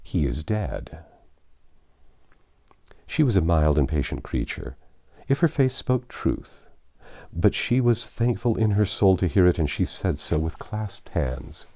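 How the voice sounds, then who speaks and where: A man narrating a classic story expressively, with dramatic, deliberate phrasing. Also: deep voice, very animated, a little mellow, dry recording. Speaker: audiobook narrator.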